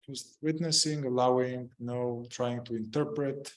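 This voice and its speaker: A man guiding a meditation in gentle, unhurried short phrases, improvising as he goes and leaving long pauses. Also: medium pitch, fairly even intonation, rough recording. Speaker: meditation guide. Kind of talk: guided meditation, improvised spoken instruction.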